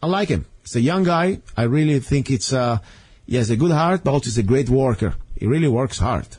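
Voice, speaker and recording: A man's voice: medium pitch, expressive, fairly quick, a little mellow, breathy edge. A man from Italy with an Italian accent, hosting a talk radio show in an earnest, rambling monologue full of fillers and restarts. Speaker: radio host. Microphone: studio microphone.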